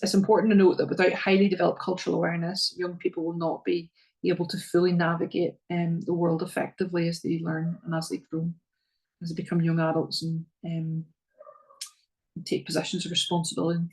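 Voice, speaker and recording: A middle-aged woman with a Northern Irish accent presents to parents in a level, even tone, with a gravelly edge under her voice. She talks earnestly, stopping for ums and doubling words as she moves through her slides. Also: on the low side, a little fast, poor audio. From Northern Ireland.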